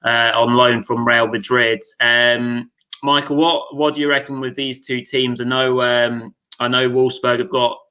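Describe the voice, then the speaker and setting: A man's voice: medium pitch, little pitch movement, measured pace, bright, forceful tone, highs cut off. A man co-hosting a football podcast, talking casually in a rambling way with many fillers and restarts. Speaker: podcast co-host.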